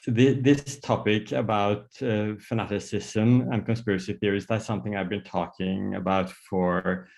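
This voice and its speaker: A man with a Norwegian accent presents a workshop over a video call, his voice sitting a little low. He talks in a hesitant way, full of fillers and restarts. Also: level tone, normal speed, vocal fry, few pauses, a little mellow. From Norway. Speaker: psychiatrist giving a workshop talk.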